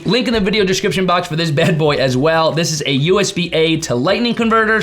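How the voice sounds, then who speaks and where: A man with a fairly high voice presents a tech explainer to his viewers. His intonation swings widely and animatedly. He is chatty and informal, leaning on key words for emphasis. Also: a bit bright. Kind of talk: explainer vlog to camera.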